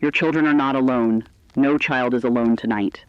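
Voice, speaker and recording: Guy acting as a compassionate male radio co-anchor, earnest and reassuring, mid pitch, dry but kinda dull audio. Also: fairly even intonation, normal speed, clean tone, dry recording, lightly acted. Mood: consoling, earnest. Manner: earnest, consoling. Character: reassuring, compassionate co-anchor comforting frightened audience. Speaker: news co-anchor. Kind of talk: scripted broadcast address to listeners.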